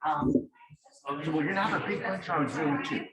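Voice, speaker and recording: A woman's voice: low-pitched, fairly quick. A chatty woman organizing an event, speaking casually to the guest speaker. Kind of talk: casual chat. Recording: crowd chatter behind. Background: chatter of a gathering crowd.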